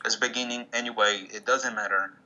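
Bright-voiced Portuguese-speaking man, hesitant English. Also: mid-range voice, fairly even intonation, fairly quick. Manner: hesitant, restarts often, searching for English words. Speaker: musician guest. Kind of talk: interview conversation. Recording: dull lo-fi sound.

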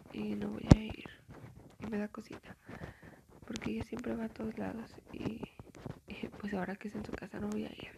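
A woman's voice: medium pitch, heavy background noise. A young woman, whispering a chatty, gossipy ASMR storytime full of fillers. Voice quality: whispering, breathy.

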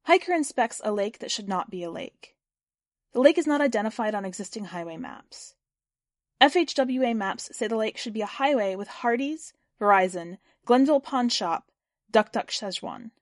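A woman's voice: fairly high pitch, expressive, normal speed, no echo, studio quality. A woman reading scripted fiction and hosting a story podcast. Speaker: podcast host and story reader.

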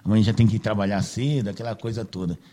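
A man's voice: lowish voice, steady tone, fast talker, mellow. A man hosting a casual radio chat, tagging nearly every phrase with "né" to seek agreement. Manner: chatty, filler-heavy, seeks agreement with "né". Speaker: radio host.

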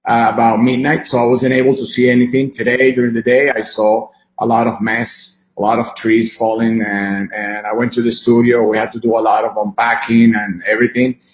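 A man from Venezuela talks as a guest in a live interview, with a mid-range voice. His delivery is conversational and rambles haltingly. The video call audio is dull, with clear room reflections around him. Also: medium pitch, little pitch movement, roomy sound. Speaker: interview guest, film director and musician.

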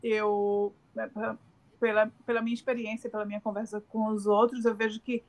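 A woman's voice: medium pitch, little pitch movement, normal speed, darkish tone. A middle-aged woman with a Northeastern Brazilian accent, answering interview questions in a hesitant, filler-filled way with many restarts. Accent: Northeastern Brazilian Portuguese. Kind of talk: casual remote interview.

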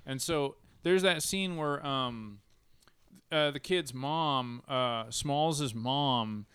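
A man's voice: medium pitch, extremely slow, a bit bright, clear, resonant tone, dry recording. A man in a laid-back movie chat, swearing casually and tripping over his words. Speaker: podcast co-host.

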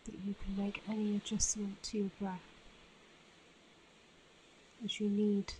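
Female meditation guide, soothing and very slow, little pitch movement, faint ambient noise behind. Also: completely flat delivery, a little mellow, rough recording. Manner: soothing, unhurried with long pauses.

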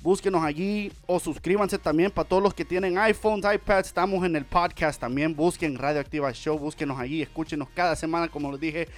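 A man hosting a casual Spanish-language radio podcast, talking with energetic, repetitive, playful hype. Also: a little high, a little fast, few pauses. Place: home studio in Las Vegas.

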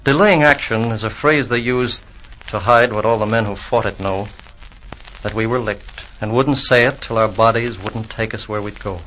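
An actor playing a weary, solemn fallen American soldier reciting verse in a 1940s radio drama. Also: male voice, fairly low pitch, moderate pace, a bit bright, close to an everyday voice. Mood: solemn, grave. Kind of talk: 1940s radio acting, blank verse monologue. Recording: faintly noisy 1940s radio recording.

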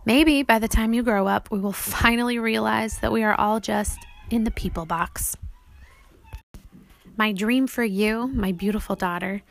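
A woman's voice: mid-range voice, dry recording. A woman reading an audiobook as its author, explaining things simply, as if to young children.

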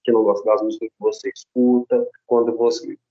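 A man who works as a psychologist, speaking earnestly and outspokenly as a guest on a podcast, with a husky voice. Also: fairly low pitch, level tone, a little fast, lo-fi sound. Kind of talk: casual podcast talk.

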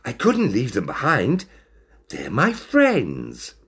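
A man voicing a gentle, polite young male cat in a storybook. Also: mid-range voice, wildly swooping pitch, slow, breathy edge, heavily acted. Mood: gentle, earnest.

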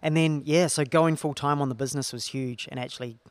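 A young man with a New Zealand accent talks through his work in a relaxed, rambling way. His voice carries a gravelly edge, and the sound is close and dry with little room around it. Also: a little high, a little fast, no echo. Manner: chatty, explains at length with "so" and "I guess". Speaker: distillery co-founder. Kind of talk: relaxed interview talk.

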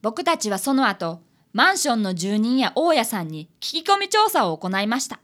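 Woman as young boy apprentice detective, high animated voice, earnest, cheeky, radio drama. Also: normal speed. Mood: earnest narrating. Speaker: apprentice detective and story narrator.

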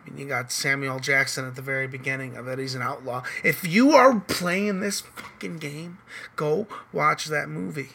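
Hoarse man rambling on a podcast. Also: on the high side, normal speed, pushed and bright. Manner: loose, rambling, swears casually. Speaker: podcast host. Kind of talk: casual chat.

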